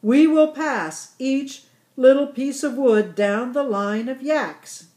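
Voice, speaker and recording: A woman's voice: high-pitched, takes it slowly, a little mellow, reverberant, pitched above the performer's own voice. A woman voicing a kindly old grandfather yak who leads his family and gives cheerful instructions. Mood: instructing.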